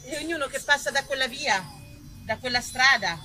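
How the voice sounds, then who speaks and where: A woman actress, performing an ironic, lively storytelling monologue and talking directly to her audience. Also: high voice, moderate pace, faint music behind, poor audio.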